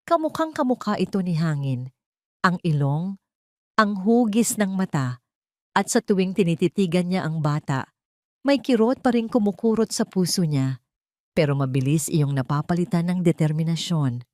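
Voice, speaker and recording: Female drama narrator, lowish voice, swooping intonation, dry close sound. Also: animated, moderate pace, a little mellow, dry recording. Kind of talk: dramatic scripted story narration.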